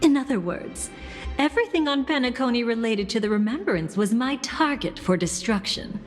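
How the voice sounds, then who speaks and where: A woman playing a seductive, mocking villainess in a video game, a capricious traitor who relishes destruction and speaks in teasing, theatrical, drawn-out phrases. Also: fairly high pitch, lively intonation, natural voice. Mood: sinister resolve. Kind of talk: dramatic video game voice acting. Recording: long reverb, game music behind.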